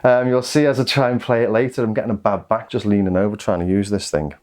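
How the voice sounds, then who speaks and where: A man's voice: on the low side, steady tone, quick pace, a little mellow. A British man giving a casual, rambling product overview as a gear reviewer.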